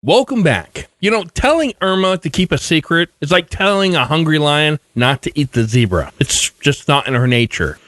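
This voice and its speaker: A man's voice: fairly high pitch, expressive, normal speed, few pauses, fairly bright tone, dry recording. A man hosting a podcast, reading scripted comments and show notices. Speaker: podcast host.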